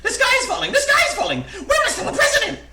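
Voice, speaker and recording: A man voicing a panicky, dim female chicken in a shrill squawk for a comic storybook, frantically exclaiming and repeating. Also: sing-song, very fast, vocal fry, exaggerated voice. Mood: frantic, shrieking. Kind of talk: comic storybook read aloud. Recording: echoey room, faint background noise.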